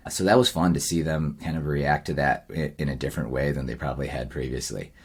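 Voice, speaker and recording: A man's voice: very deep voice, fairly even intonation, fast talker, husky. A man giving a casual video diary update to viewers in a reflective, plain-spoken way. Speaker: vlogger running a storytelling camp for kids.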